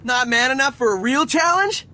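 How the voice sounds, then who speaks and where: A woman's voice: high-pitched, lively intonation, moderate pace, bright, forceful tone. A woman playing a hot-headed, sneering bounty hunter who taunts brashly in a video game. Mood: taunting.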